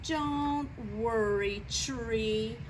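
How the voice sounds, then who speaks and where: Lady doing a worried little boy fox from a storybook, earnest n caring, slow talker, noisy recording. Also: a little high, far from the mic. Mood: earnest, reassuring. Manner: earnest, reassuring, simple childlike phrasing. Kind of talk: children's storybook read-aloud.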